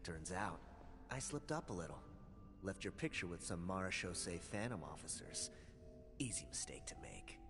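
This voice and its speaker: A man's voice: low voice, animated, normal speed. A man playing a smug, menacing crime boss in a video game, taunting slyly, with long reverb on the recording. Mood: smug, threatening. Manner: sly, taunting, drawling threats. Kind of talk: villainous game voice acting. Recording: long reverb under loud music.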